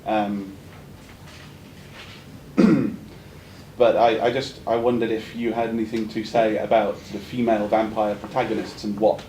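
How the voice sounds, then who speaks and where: A man's voice: little pitch movement, moderate pace, dark tone. A man asking an academic question at a conference, speaking in a polite, hesitant and discursive way. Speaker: audience questioner. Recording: echoey room with faint rumbling noise.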